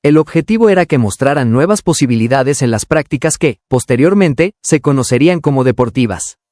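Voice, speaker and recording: A man's voice: medium pitch, animated, fairly quick, a little mellow, dry recording, clean studio sound. A man reading scripted educational narration as an audiobook narrator.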